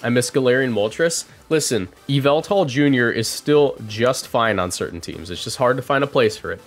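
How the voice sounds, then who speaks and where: Casting: male game streamer, twenties, mid-pitched voice, casual and chatty, faint music underneath. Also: lively intonation, moderate pace. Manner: chatty, thinks out loud. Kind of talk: casual live game commentary.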